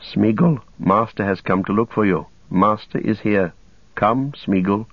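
A man voicing a gentle but firm, anxious hobbit master, coaxing softly and earnestly in a storybook reading. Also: fairly low pitch, unhurried, breathy edge, no echo, dull audio. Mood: coaxing, urgent. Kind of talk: storybook character dialogue.

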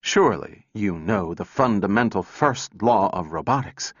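A man's voice: fairly low pitch, sing-song, slow, heavily acted. A man voicing a sardonic, overwrought woman scientist in a storybook reading, sneering and intense. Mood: sarcastic. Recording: echoey room, dull muffled sound.

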